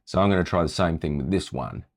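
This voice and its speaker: Very deep-voiced, chatty man tutoring. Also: quick pace, vocal fry. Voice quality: low voice. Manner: chatty, relaxed, often checks in with "right?". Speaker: music tutor. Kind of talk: casual screen-share tutorial.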